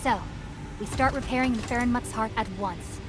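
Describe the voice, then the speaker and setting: A woman's voice: fairly high pitch, moderate pace, music in the background, close to an everyday voice. Woman playing a reserved, thoughtful game heroine. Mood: calm, decisive. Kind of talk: video game voice acting.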